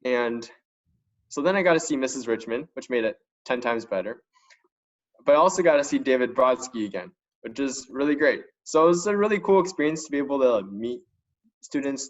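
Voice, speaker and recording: A young man from China giving a casual, enthusiastic talk as a student panelist, speaking informally. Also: mid-range voice. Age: young adult. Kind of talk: casual spoken testimonial. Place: online video conference call. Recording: dull lo-fi online call audio.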